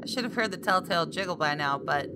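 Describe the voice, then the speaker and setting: A woman gamer reading a visual novel aloud as expressive first-person story narration. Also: fairly low pitch, fast, heavy background noise. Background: game music.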